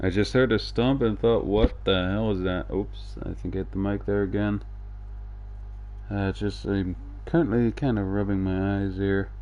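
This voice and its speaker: A man's voice: lowish voice, fairly even intonation, normal speed, a little mellow, dull audio. A man casually commentating a game livestream and reading dialogue aloud, hesitant and rambling, with a dryly joking touch. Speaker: video game streamer. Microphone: close streaming microphone. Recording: game music behind the voice.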